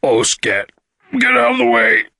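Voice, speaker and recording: A man's voice: fairly low pitch, lively intonation, a bit bright, breathy edge, dry recording, heavily acted. A man performs a massive four-armed alien from a sci-fi audiobook, suffering with his gut. The words come out strained and drawn out between groans, in a very dry, close recording. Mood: panicked. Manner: groaning, drawn-out, distressed delivery.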